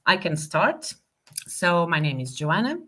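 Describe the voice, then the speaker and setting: A woman's voice: fairly low pitch, sing-song, a little slow, a bit bright. A woman from Portugal moderating an online group discussion on a video call, speaking in a hesitant, thoughtful way with many fillers.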